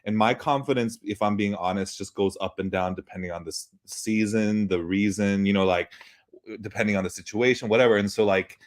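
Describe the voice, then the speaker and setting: A man in his thirties calling into a live show, speaking in a hesitant, halting way with many restarts. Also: lowish voice. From United States. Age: 30s. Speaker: caller. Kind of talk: casual chat. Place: off camera, joining a live stream remotely.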